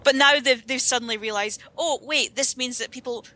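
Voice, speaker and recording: A woman's voice: high voice, a little fast, bright, forceful tone. A Scottish woman explaining at length in a casual podcast chat, with a wry manner. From United Kingdom.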